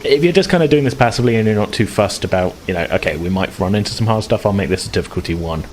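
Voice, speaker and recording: Low-voiced man running a tabletop game. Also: expressive, very fast. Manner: hesitant, thinking aloud, loosely phrased. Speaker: game master. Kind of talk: casual tabletop game narration. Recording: clear background noise, room ambience.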